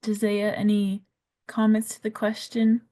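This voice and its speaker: A woman's voice: mid-range voice, monotone, a little slow, mellow. A woman moderating a panel discussion, friendly and a little scattered.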